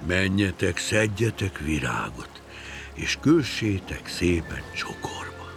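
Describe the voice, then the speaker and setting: A man voicing a wise, gentle old fish in a children's story, speaking slowly and in a hushed way. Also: low voice, big pitch swings, creaky, over music, exaggerated voice. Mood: wistful.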